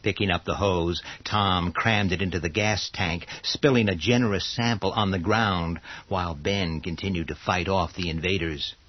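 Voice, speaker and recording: A male narrator reads a scripted horror tale. His voice is fairly low and husky. The recording is dry and somewhat muffled. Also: steady tone, moderate pace, few pauses, dry recording. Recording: very dry, dull lo-fi sound.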